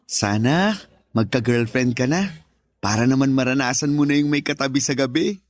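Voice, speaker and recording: A man's voice: mid-range voice, normal speed, close to an everyday voice. An actor playing a teasing, pushy married best friend in his thirties, with a Filipino accent, coaxing and joking in a radio drama.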